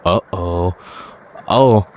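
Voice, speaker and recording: Man, low pitch, gaming video host, casual play-along commentary, noticeable game noise in background. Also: extremely slow.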